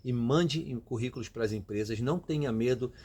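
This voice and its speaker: A man's voice: medium pitch, normal speed, dark tone. A Brazilian man giving emphatic, motivational advice directly to his viewers in a casual way. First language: Portuguese. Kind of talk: motivational casual talk to camera.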